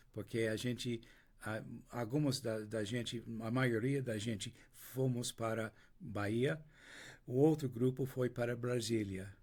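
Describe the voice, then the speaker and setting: An older man whose first language is English, speaking Portuguese with an American accent in an interview, telling his story slowly with many restarts and pauses while he searches for words. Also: medium pitch, a little mellow. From United States. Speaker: interview guest.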